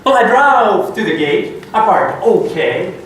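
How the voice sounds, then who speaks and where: Man, fairly high voice, slow rhythmic delivery, theatrical live storyteller, short deliberate phrases. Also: sing-song, fairly bright tone. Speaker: contest speaker telling a tall tale. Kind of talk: rhythmic, rhyming humorous storytelling to a live audience. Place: indoor meeting room at a live event. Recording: very echoey hall, distant mic, background noise.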